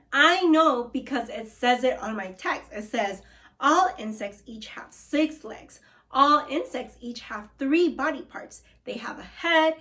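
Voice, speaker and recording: A woman teacher reads a children's nonfiction book aloud, stopping often to ask her young listeners questions. She speaks slowly and carefully. Her voice sits a little high. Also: sharp tone. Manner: slow, deliberate, addresses kids as scholars. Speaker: second grade teacher. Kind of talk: read-aloud lesson for children.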